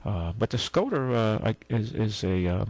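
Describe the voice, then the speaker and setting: A man's voice: fairly low pitch, expressive, normal speed, a little mellow, no echo. A man hosting a call-in car radio show, talking casually and rambling with many fillers and restarts.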